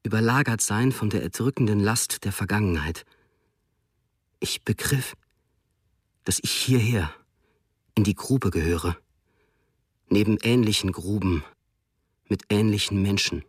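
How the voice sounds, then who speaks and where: A man with a fairly low voice narrates a soldier's memoir in the first person. His tone is grave and inward-looking, recorded close and dry with no room sound. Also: measured pace, pauses often, husky, no echo.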